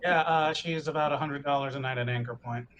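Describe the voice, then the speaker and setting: A man's voice: mid-range voice, fairly even intonation, a little fast, a bit bright, dull audio. A man making casual banter as a player in a tabletop roleplaying game.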